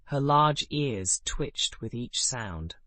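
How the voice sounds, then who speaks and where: Calm male bedtime story narrator. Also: medium pitch, slow pace, no room sound.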